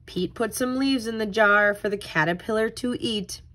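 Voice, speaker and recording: A woman's voice: mid-range voice, moderate pace, a bit bright. A woman tutor reading aloud and giving simple step-by-step instruction for young children. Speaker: tutor reading a picture book and leading a craft.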